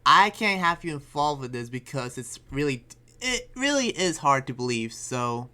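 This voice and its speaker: Man acting as male demon slayer researcher, earnest, conflicted, determined loner, hesitant rambling delivery, anime game roleplay, mid-pitched. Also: very animated, moderate pace, sharp tone, clean tone. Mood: pensive, resolved. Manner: hesitant, rambling, thinking aloud.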